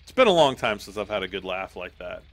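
A man with a hoarse voice giving casual, rambling commentary while playing a game, often clearing his throat. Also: on the low side, sing-song, fast. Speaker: gaming YouTuber.

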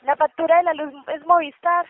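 Una mujer teleoperadora que hace una venta por teléfono con un discurso paciente y algo titubeante. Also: female voice, very high voice, flat delivery, brisk, no echo. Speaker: telemarketer selling electricity offers. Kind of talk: sales phone call. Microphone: telephone. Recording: muffled, tinny phone line.

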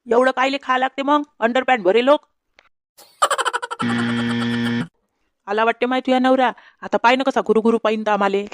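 A woman voicing a grumbling, sharp-tongued village mother-in-law in a comedy cartoon, with a Varhadi Marathi accent. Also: a little high, fairly even intonation, quick pace, close to an everyday voice. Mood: grumbling, mocking. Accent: Varhadi Marathi from Vidarbha. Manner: griping, gossipy, insulting. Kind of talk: comedy cartoon dialogue in rural dialect.

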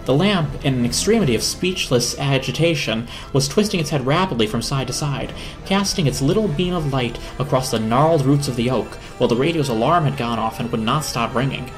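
A man's voice: medium pitch, lively intonation, fairly quick, faint music behind. A man narrating a children's story as a dramatic reading.

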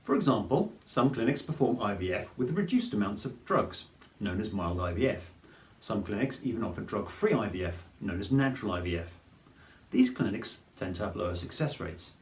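A man, presenting a scripted explainer to camera in a clear, measured way. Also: lowish voice, expressive. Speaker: fertility advice presenter. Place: plain studio with grey backdrop. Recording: roomy studio echo.

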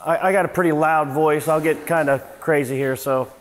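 A man's voice: fairly high pitch, steady tone, moderate pace. A middle-aged man, a service manager, giving an informal seminar in a large workshop garage, talking casually with many fillers and restarts, picked up by a camera at a distance. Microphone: far camera, no mic on him. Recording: echoey garage from far off.

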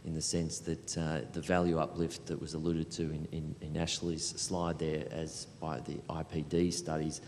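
A man's voice: completely flat delivery, moderate pace, few pauses, darkish tone. A middle-aged man explaining things as a panelist in a discussion, speaking into a handheld microphone. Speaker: panelist, programme administrator. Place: large hall panel event with audience. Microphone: handheld microphone through hall. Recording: echoey hall, low rumbling noise.

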